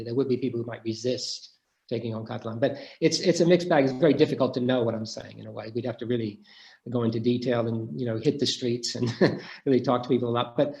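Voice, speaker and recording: A man's voice: mid-range voice, a little mellow, roomy sound, muffled recording. A middle-aged man answering questions in an academic discussion, thoughtful and full of restarts. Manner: thoughtful, many restarts and hesitations. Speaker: guest speaker.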